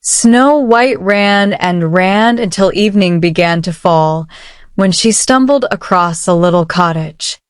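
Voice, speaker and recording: A woman narrating a children's picture book aloud with measured, careful phrasing. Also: fairly low pitch, smooth, no echo, clean studio sound. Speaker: storybook narrator. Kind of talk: children's read-aloud storytelling. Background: soft music.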